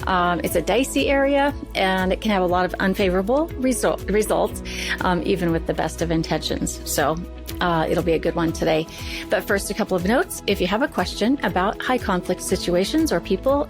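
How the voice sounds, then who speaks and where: A woman co-hosting a podcast, introducing and talking through the discussion in a conversational way. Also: lowish voice. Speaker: podcast co-host.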